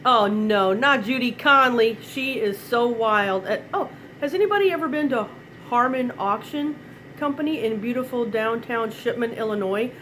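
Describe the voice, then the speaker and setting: A middle-aged woman sells from her kitchen in a live online demo, her fairly high voice a touch stuffy from a head cold. She talks in loose, rambling asides and chuckles often. A faint hiss sits under the recording. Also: moderate pace, a bit bright, poor audio. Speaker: direct-sales consultant.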